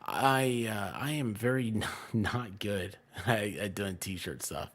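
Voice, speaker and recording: Low-voiced man rambling casually. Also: slow. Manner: rambling, often trailing off mid-sentence. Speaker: online content creator and streamer. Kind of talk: casual livestream chat.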